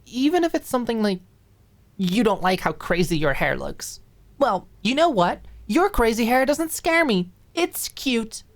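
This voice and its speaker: A woman's voice: on the high side, big pitch swings, unhurried, pitched above the performer's own voice. A woman voicing a blunt but caring young woman in an audiobook drama, talking casually and insistently to her friend. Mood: soft, reassuring. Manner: casual, emphatic, insistent, uses filler "like".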